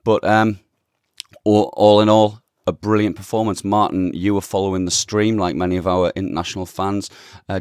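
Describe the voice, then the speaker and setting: A man hosting a football podcast, hoarse this morning, recapping the match in a chatty, enthusiastic way. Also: sits low, a little mellow, no echo, clean studio sound. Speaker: podcast host. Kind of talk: casual football podcast chat.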